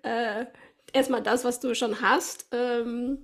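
A woman's voice: on the high side, steady tone, slow pace, creaky. A woman hosting a casual podcast interview, laughing often and speaking encouragingly. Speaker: podcast host.

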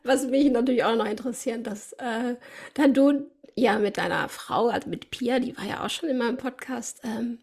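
A woman's voice: fairly high pitch, moderate pace, creaky, a little mellow, husky. A woman hosting a podcast, chatting casually and encouragingly with her guest. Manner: encouraging, laughs often and affirms. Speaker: podcast host. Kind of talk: casual interview talk.

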